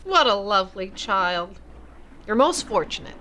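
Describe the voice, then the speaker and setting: A woman's voice: medium pitch, sing-song, unhurried, sharp tone. An actress playing a friendly young American mother in an audio mystery drama, effusive and politely admiring. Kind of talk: audio re-creation of a 1930s mystery film. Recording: noisy track with background ambience.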